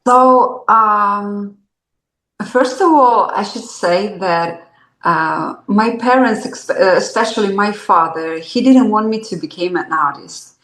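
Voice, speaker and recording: A woman answering interview questions slowly and thoughtfully, pausing often on fillers. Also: mid-range voice, a bit bright. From Armenia. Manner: slow, thoughtful, hesitant with many fillers. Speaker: guest artist. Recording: roomy echo.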